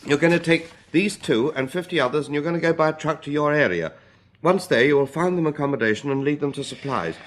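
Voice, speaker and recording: An actor playing a brisk, commanding gang leader in a radio drama, with a clipped, unbending manner. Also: male voice, fairly high pitch, lively intonation, lightly acted. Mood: brisk, firm.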